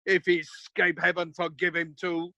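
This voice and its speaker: A man playing a grief-stricken nobleman and warrior father in an amateur Shakespeare radio play, in a halting, anguished delivery. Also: high voice, flat delivery, gravelly, bright, forceful tone, no echo, full character voice. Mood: vengeful resolve. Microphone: recorded over video call.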